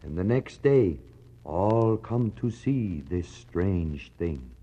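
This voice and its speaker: A man's voice: deep voice, expressive, dark tone, husky, exaggerated voice. An actor playing an Inuit man solemnly recounting his fathers' tale in simple, wondering phrases, in a 1960s radio drama. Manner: slow, simple declarative storytelling with dramatic pauses. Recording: muffled 1960s radio recording.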